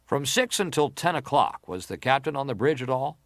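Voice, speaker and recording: Casting: actor for middle-aged male senator in hearing drama, medium pitch, probing and formal, deliberate delivery, close dry recording. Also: animated, no room sound, natural voice. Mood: probing, insistent. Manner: deliberate, formal, pressing questions. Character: probing, persistent senator questioning a witness. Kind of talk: dramatised reading of hearing interrogation.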